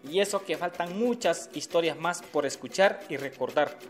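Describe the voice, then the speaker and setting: A man's voice: fairly high pitch, moderate pace, lightly acted. A man with a Honduran accent playing an indignant, colloquial community activist in a radio drama. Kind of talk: scripted radio drama dialogue. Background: music.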